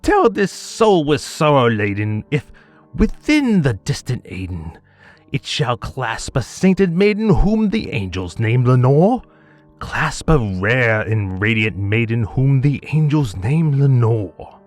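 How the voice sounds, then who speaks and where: A man voice actor giving a theatrical poetry reading, swinging between hushed murmurs and anguished cries. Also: medium pitch, sing-song, slow, faint music behind. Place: home studio.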